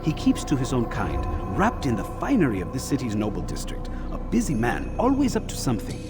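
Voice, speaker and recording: An actor playing a sardonic, theatrical bureau keeper in a video game, with arch, teasing, drawn-out phrasing. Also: male voice, mid-range voice, wildly swooping pitch, loud music behind the voice. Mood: wry, informative. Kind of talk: video game cutscene acting.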